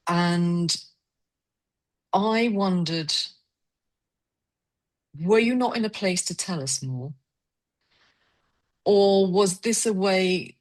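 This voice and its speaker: A woman hosting a literary conversation, asking reflective questions in a tentative, searching way. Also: lowish voice. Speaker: interviewer hosting a poetry salon.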